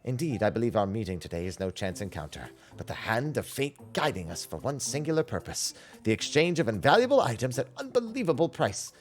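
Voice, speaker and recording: A man voicing a boastful, smooth-talking merchant from a video game, with florid sales patter. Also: medium pitch, very animated, brisk, few pauses, soft background music, close to an everyday voice. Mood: boastful, coaxing. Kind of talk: game character dialogue read aloud.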